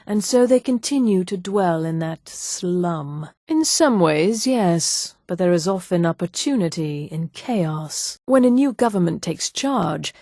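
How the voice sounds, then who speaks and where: Haughty, scheming high elf lady. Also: animated, measured pace, few pauses, mellow, no echo, studio quality. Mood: measured, sly. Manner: haughty, poised, condescending. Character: haughty, vain, scheming female high elf mage and merchant. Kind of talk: scripted video game character dialogue.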